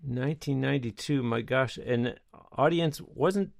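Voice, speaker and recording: A man's voice: medium pitch, a little slow, vocal fry, dry recording, clean studio sound. A man hosting a faith radio show, affably wrapping up an interview and leading a prayer.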